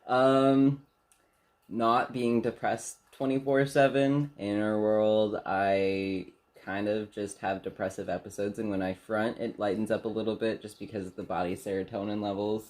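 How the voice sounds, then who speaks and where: A soft-spoken young man co-hosting a casual get-to-know-you chat in a small bedroom, laughing often and speaking candidly. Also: lowish voice, little pitch movement, measured pace. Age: young adult. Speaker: channel co-host.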